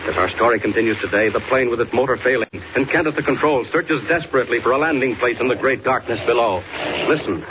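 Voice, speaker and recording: Dramatic vintage radio announcer, muffled and noisy. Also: male voice, mid-range voice. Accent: American. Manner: dramatic, declamatory. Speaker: announcer and narrator. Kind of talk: 1940s radio serial narration.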